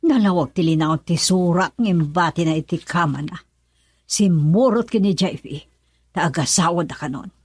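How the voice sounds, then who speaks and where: A woman's voice: lowish voice, moderate pace, darkish tone, husky, no echo. A woman playing an indignant, accusing mother in an Ilocano radio drama, scolding and insistent. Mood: agitated. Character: indignant, accusing mother of a runaway young daughter.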